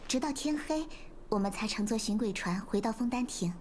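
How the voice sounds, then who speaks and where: A woman playing a bubbly, excitable young woman in an anime game, telling things in an animated, cheerful way. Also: a little high, a little fast, slight background noise, natural voice. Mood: wondering, eager. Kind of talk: scripted game dialogue in Mandarin.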